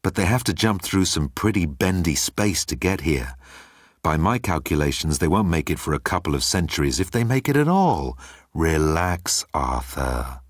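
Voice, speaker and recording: A man voicing a cocky, laid-back space rogue with an unhurried, dismissive, casual manner in an audiobook. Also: deep voice, animated, dry recording, clean studio sound.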